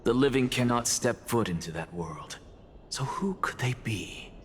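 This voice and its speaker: An actor playing a proud, coolly theatrical professor in a video game, lecturing in a deliberate, pompous manner. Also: male voice, medium pitch, expressive, slight background noise, close to an everyday voice. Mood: coolly triumphant. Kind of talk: dramatic scripted game dialogue.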